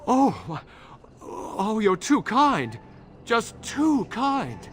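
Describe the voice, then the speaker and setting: A man's voice: sits high, huge pitch swings, drawn-out words, a bit bright, heavily acted. A male actor playing a humble, fawning beggar, hesitant, pleading and effusive. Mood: effusively grateful.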